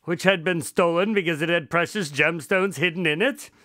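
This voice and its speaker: A man acts out a wry doctor and storyteller for a radio drama. His voice is bright and rather high, swinging with exasperated emphasis. The sound is close and dry. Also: moderate pace, no room sound. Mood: exasperated banter.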